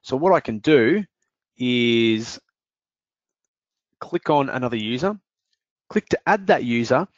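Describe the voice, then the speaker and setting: A man demonstrating invoice software step by step in a screen-recorded walkthrough, explaining calmly with the odd "uh" and "um". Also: medium pitch, takes it slowly, pauses often, no echo. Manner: explanatory, steady, with fillers. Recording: dry, dull lo-fi voice-over.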